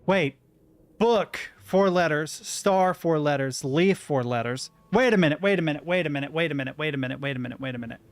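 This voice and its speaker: Brief: young male gamer, slightly high voice, mumbles his way through a puzzle. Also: a little fast. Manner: thinking aloud, muttering to himself. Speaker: gaming streamer. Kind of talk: let's play commentary. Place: room at home with a window and a rattling door.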